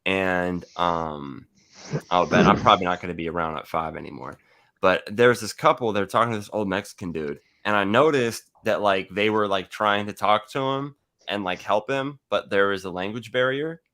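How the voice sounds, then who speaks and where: Deep-voiced American man chatting casually. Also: moderate pace, fairly bright tone. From California, United States. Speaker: livestream host. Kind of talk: casual, profane online chat.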